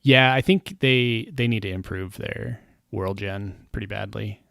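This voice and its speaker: Gravelly, dry-sounding man rambling on stream. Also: fairly low pitch, a little slow, fairly bright tone, no room sound. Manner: rambling, wry and self-mocking, often trails off with um and uh. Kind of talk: casual chat on a game stream.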